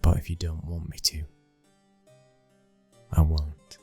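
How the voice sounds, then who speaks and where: A British man playing a brooding, dominant young mafia boss's son in an intimate ASMR roleplay, speaking with slow, commanding control. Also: very deep voice, completely flat delivery, vocal fry, breathy edge, faint music behind, heavily acted. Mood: guarded, frank.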